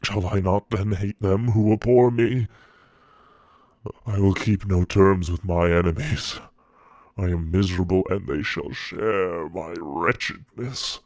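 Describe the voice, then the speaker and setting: A man performing a dramatic monologue as a wretched, bitter monster pleading with his creator, solemn and theatrical with halting pauses. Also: low voice, steady tone, a little mellow, no echo, clean studio sound. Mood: vengeful.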